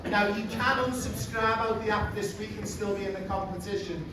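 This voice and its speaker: A man's voice: high voice, fairly even intonation, fairly quick. A man from England with a Liverpool accent, giving a chatty, direct live presentation to parents and children in a large room. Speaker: presenter, program founder. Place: large indoor room with audience. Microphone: far room mic. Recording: echoey room, distant mic, background noise.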